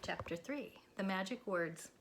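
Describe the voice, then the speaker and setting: A woman narrating a children's storybook aloud in a deliberate, expressive school read-aloud style. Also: medium pitch, slight background noise. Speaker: elementary school teacher reading aloud.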